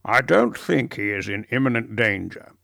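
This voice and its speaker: A man reading as a measured, gravelly professor. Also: medium pitch, very animated, pushed and bright, dry recording, studio quality, exaggerated voice. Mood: reassuring.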